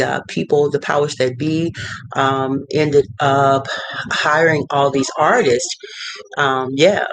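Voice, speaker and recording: Middle-aged artist vlogging, mid-pitched voice, rambling with fillers, dry studio sound. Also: no room sound, rough recording. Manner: rambling, many fillers and restarts. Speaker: visual artist and art consultant. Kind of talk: casual talking-head advice. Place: cluttered home studio.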